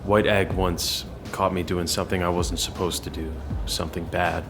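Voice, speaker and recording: An actor playing a confident, cagey hacker turned recruiter in an audio drama, speaking in a measured, self-assured and probing way. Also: male voice, deep voice, flat delivery, music in the background. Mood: musing. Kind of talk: full-cast audio drama dialogue.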